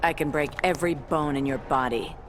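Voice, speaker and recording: A woman's voice: low-pitched, brisk, lightly acted. An actress playing a confrontational, blunt and sarcastic female fighter in a video game. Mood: defiant, sarcastic. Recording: loud music behind the voice.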